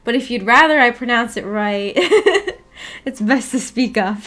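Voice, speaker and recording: Higher-voiced woman chatting casually on stream. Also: very animated, slow pace, fairly bright tone. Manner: giggly, rambling, self-deprecating. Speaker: livestream host of a visual novel book club. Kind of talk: casual chat, reading and answering viewer comments.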